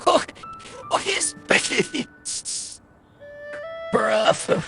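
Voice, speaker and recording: A woman streams a game with casual, halting commentary. Her voice sits a little high and she talks slowly, in dry understatement. Soft music plays behind her. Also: animated, vocal fry. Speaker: gaming streamer. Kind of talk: casual, halting gameplay reactions.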